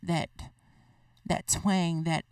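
A man from Baltimore County with a Baltimore accent, co-hosting a casual podcast chat in a measured, thoughtful way. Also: on the high side, little pitch movement, a little mellow, smooth, no room sound. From Baltimore County, USA. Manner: measured, thoughtful, with frequent restarts. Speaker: podcast co-host. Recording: distant mic in a dry room.

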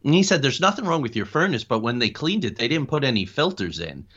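A man's voice: medium pitch, very animated, quick pace, fairly bright tone. A man speaking as an interview guest, telling personal stories in a conversational way. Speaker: guest, paranormal investigator. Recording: dull lo-fi call audio.

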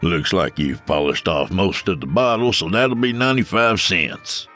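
A man's voice: sits low, moderate pace, fairly bright tone, faint music behind. A man voicing a stern, skeptical old-west bartender who scoffs at a stranger.

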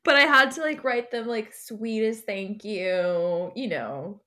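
A woman's voice: mid-range voice, takes it slowly, bright, forceful tone. A woman chatting casually as a podcast guest, slangy and peppering her speech with "like". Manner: slangy, heavy use of "like". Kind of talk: casual, giggly anecdote chat.

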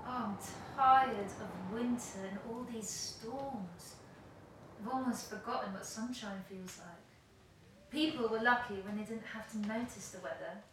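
A woman's voice: mid-range voice, unhurried, natural voice. An actress in an audio play voicing a passionate, world-weary woman who speaks emphatically and emotionally. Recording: very echoey room, distant, faint noise.

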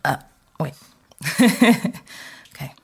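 A woman's voice: extremely slow, a little mellow. A woman recording voice lines, taking direction and retrying. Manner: takes direction, retries with laughs. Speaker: voice actor.

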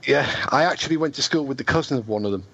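A man's voice: medium pitch, racing, gravelly, bright, forceful tone. English man, from near Liverpool, radio co-host, chatty, dull audio. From near Liverpool, England. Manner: chatty storyteller. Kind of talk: casual radio chat. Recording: dull lo-fi sound.

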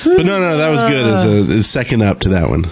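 A man's voice: fairly low pitch, sing-song, fast talker, a little mellow. A man co-hosting a gaming podcast in casual, bantering chat. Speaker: podcast co-host.

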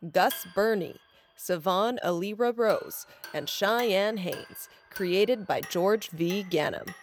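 A woman announcing scripted credits for an audio drama. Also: medium pitch.

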